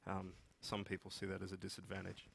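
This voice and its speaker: A man's voice: deep voice, monotone, fast, creaky, a bit bright, breathy edge. A man with an Australian accent giving an informal conference presentation as one of the speakers. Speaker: conference speaker, software development manager. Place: meeting room with seated audience, indoors.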